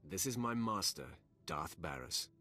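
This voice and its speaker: Very deep, haughty Sith warrior. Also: male voice, animated, a little slow, pushed and bright, heavily acted. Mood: cool. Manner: curt, haughty. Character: arrogant, imposing male Sith warrior. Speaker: actor in a video game. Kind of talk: scripted game dialogue.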